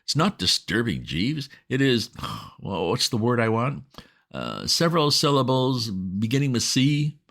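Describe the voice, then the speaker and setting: A man's voice: mid-range voice, very animated, vocal fry, fairly bright tone, voice pushed up, exaggerated voice. Guy doing a frazzled posh young gent, squawky outbursts, swoopy voice. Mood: sarcastic. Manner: exclamatory, indignant, rapid outbursts. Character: flustered young English gentleman, excitable.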